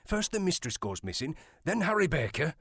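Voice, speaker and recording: A man playing a gruff, loyal servant of a country house in a period audio drama, deferential yet blunt. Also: fairly high pitch, sing-song, a little fast, fairly bright tone, dry recording, studio quality, heavily acted. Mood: resolute.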